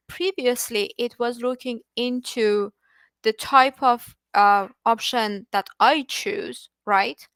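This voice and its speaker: Woman, native Persian speaker, fairly high voice, dry close mic; online tech lecturer, patient, self-correcting. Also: fairly bright tone, clear, resonant tone, dry recording. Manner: explains patiently with restarts and filler words. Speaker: study group presenter. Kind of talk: live online technical lecture.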